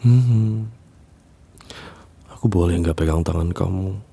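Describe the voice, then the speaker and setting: A man voicing an obsessive young man who secretly haunts a listener's bedroom, speaking softly in a hushed, cooing way with low chuckles in an ASMR roleplay. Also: takes it slowly, vocal fry, slightly rough, full character voice. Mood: soothing menace.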